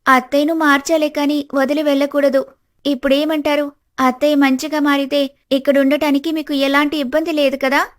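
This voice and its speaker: A woman voicing a clever, outspoken young daughter-in-law in an animated family story, earnest and firm. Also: high-pitched, a little fast, lightly acted. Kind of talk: animated family drama dialogue.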